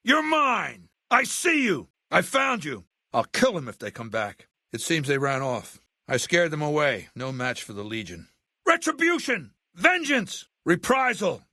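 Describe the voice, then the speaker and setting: Imperious warlord dictator, dry close recording. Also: male voice, fairly high pitch, huge pitch swings, measured pace, bright, forceful tone, dry recording, exaggerated voice. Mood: aggressive, threatening. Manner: commanding, contemptuous, articulate. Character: imperious, ruthless, intellectual male warlord dictator. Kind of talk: video game character acting.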